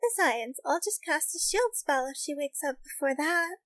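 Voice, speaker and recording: A woman playing a teasing, easily flustered young fox-tailed girl in an anime-style audiobook, with a playful, high-strung delivery. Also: animated, normal speed, fairly bright tone, dry recording. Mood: playful, casual.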